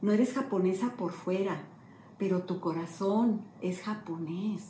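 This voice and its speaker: A middle-aged woman pastor, telling a personal testimony earnestly and slowly. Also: medium pitch, mellow, slight background noise. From Mexico. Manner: earnest storytelling, slow and emotional. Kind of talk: sermon-style testimony. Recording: echoey room, faint ambience.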